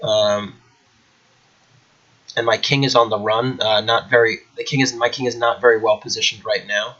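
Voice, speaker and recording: A man's voice: on the low side, flat delivery, normal speed, sharp tone, highs cut off. A man with a cold, a little nasal and congested, chatting casually at home as host of his chess channel, rambling with many fillers. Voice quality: slightly nasal. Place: at home indoors.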